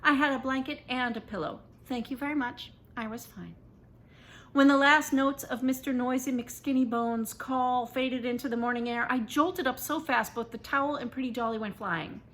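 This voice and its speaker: A woman reading a first-person children's story aloud in an expressive, dramatic and emphatic way. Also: high-pitched, normal speed. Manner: animated, dramatic, emphatic delivery.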